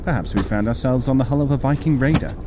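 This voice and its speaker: An actor playing a wry, wordy adventuring companion in an audio drama, dry and ornately formal. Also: male voice, medium pitch, quick pace, noisy background, close to an everyday voice.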